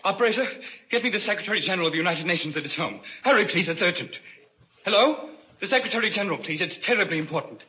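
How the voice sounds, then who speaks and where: A man's voice: high-pitched, animated, fast talker, pushed and bright, husky, full character voice. An actor playing a doomed, desperate man on the run in 1940s radio suspense style. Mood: frantic. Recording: muffled, very noisy 1940s radio recording.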